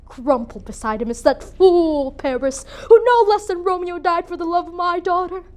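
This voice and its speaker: A woman's voice: very high voice, normal speed, voice pushed up. A woman performing a dramatic monologue as a grieving, bitter, proud young noblewoman mother, with an emotional theatrical delivery. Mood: grieving, stunned. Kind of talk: dramatic monologue for speech competition.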